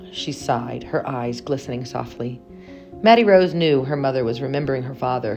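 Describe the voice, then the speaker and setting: A woman narrating a children's picture book with expressive, dramatic and deliberate phrasing. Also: very deep voice. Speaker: read-aloud storyteller.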